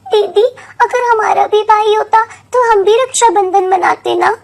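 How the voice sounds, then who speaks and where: A woman's voice: extremely high pitch, fairly even intonation, a little slow, gravelly, a little mellow, pitched above the performer's own voice, full character voice. A woman voicing an innocent eight-year-old little sister in a story, with childlike, earnest questions. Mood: wistful, hopeful.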